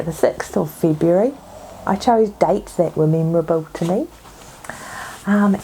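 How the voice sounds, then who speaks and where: A woman with a cold and a husky voice, chatting casually as host of her own podcast. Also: low voice, slight background noise, poor audio. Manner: chatty, rambling, soft asides. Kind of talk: casual chat. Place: home.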